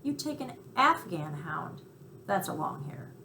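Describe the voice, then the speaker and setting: A woman voicing a brash, friendly, streetwise male mouse in a storybook, talkative and a little squeaky. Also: big pitch swings, measured pace, creaky, full character voice. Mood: knowing, breezy. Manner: talkative, scornful of snobs, city slang. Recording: roomy echo, faint background noise.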